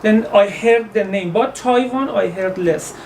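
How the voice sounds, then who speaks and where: An Iranian-accented man in his thirties talks as a guest in a relaxed interview. He pauses often, hunts for words and restarts his sentences. He sits a little back from the mic, with a faint hiss of noise behind him. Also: high-pitched, recorded from a distance, low-quality recording. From Iran. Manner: halting, searching for words with many fillers and restarts. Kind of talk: casual interview in English.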